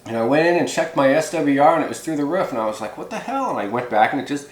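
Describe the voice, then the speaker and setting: A man's voice: a little high, expressive, fast talker. A man hosting a hands-on how-to video in casual, folksy, rambling talk. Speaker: host of a hobby how-to video. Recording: echoey room.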